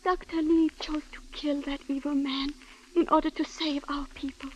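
A woman's voice: sits high, flat delivery, moderate pace, natural voice. A woman playing an earnest, deferential Chinese immigrant in a 1940s radio drama, speaking formally and carefully. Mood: earnest pleading. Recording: dull old radio broadcast.

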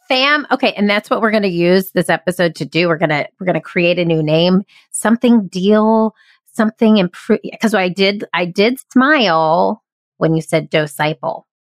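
A woman hosts a podcast, bubbly and playful as she encourages her guest. Her voice sits a little low for a woman. The sound is dry and close to the mic. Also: moderate pace, dry recording. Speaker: podcast host. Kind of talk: casual podcast interview.

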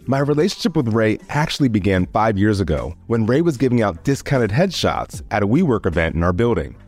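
A man's voice: on the low side, big pitch swings, brisk, darkish tone, faint music behind. A man hosting an interview, introducing his guest and asking long, friendly questions filled with "like" and "you know.". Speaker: interview host. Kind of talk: podcast interview.

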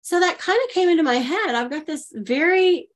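A woman's voice: very high voice, lively intonation, moderate pace. A middle-aged woman from Oklahoma, a guest telling her story animatedly and acting out the voices she quotes. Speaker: podcast guest, leadership coach. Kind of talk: storytelling interview.

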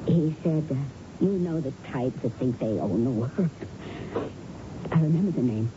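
An actress in a 1970s radio drama playing an earnest bereaved wife, halting as she recollects. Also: animated. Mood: earnest, remembering. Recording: muffled noisy radio broadcast with rumble.

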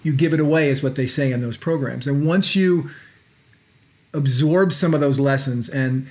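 Male interviewer, medium pitch, affirming and conversational. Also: moderate pace. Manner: affirming, brief "right" acknowledgements. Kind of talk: interview questions.

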